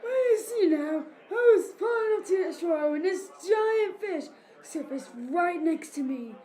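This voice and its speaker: A woman's voice: extremely high pitch, expressive, a little slow, mellow, a faint crowd. A woman playing a folksy, rambling old fisherman in an amateur audio drama. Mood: excited, insistent. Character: old fisherman telling a tall tale.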